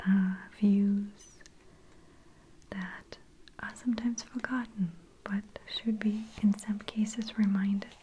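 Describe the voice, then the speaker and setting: Slow whispering woman reading a book. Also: medium pitch, flat delivery, slight background noise. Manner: soft unvoiced whisper throughout. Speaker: reader.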